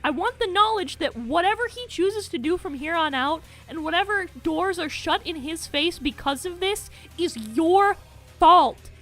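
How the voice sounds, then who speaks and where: A woman's voice: very high-pitched, animated, a little slow, fairly bright tone. A young woman delivering scripted commentary as an emphatic, accusatory rebuke peppered with swearing. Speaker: video commentator. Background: background music.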